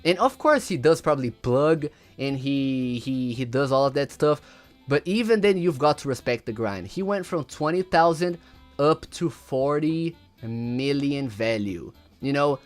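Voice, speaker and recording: A man's voice: mid-range voice, expressive, unhurried, faint music behind. A young man whose first language is Portuguese, rambling through a casual livestream and reading chat aloud, often repeating words.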